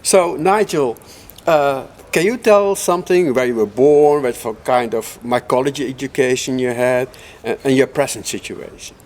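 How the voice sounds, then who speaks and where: An older man leading an interview, asking questions in a halting, conversational way. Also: medium pitch, a little mellow.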